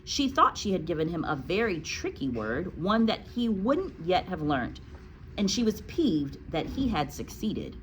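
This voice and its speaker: Female teacher-narrator, mid-range voice, highly expressive pitch, casual asides, faint room noise. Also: a little slow, rough recording. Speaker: teacher reading aloud to children. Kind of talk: storybook narration with casual classroom chat.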